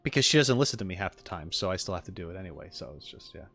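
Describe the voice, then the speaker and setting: A man's voice: low voice, animated, very fast, fairly bright tone. A man streaming casual reaction commentary on game voice lines, joking and playfully exasperated. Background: faint music.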